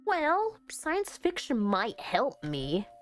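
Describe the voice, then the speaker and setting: A woman chatting expressively and thinking out loud as she plays through a game and reads its text. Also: on the high side, wildly swooping pitch, takes it slowly. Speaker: game streamer. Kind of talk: casual let's-play commentary, reading game text aloud. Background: background music.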